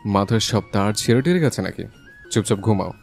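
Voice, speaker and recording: A low-voiced young husband, played by a woman narrator, gruff and scolding in a romance audio story. He fires off curt, exasperated lines at a quick pace, with faint music behind. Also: lively intonation, a little mellow.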